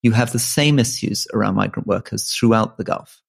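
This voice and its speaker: A man who grew up in Malaysia co-hosting a casual political podcast, earnest and halting, often restarting and repeating words. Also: fairly low pitch, vocal fry, husky. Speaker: podcast co-host.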